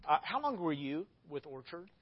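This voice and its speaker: A man's voice: fairly high pitch, sing-song, normal speed. A man, a pastor hosting a casual interview, talking in a rambling way with restarts. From Arkansas. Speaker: pastor and host. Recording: dull lo-fi audio.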